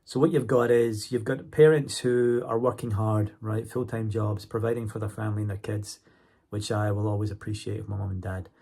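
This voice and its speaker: Husky Scottish man in his forties with a cold. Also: on the low side, fairly even intonation, brisk, dark tone. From Scotland. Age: 40s. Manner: chatty, keeps checking in with viewers. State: has a cold. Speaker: YouTuber. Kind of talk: casual monologue to camera.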